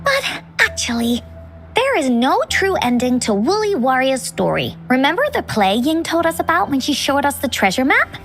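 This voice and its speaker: A woman voicing a chirpy, imaginative little girl storyteller in a video game, with a childlike, expressive delivery. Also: high-pitched, normal speed, soft background music, full character voice. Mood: pensive. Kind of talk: scripted video game dialogue.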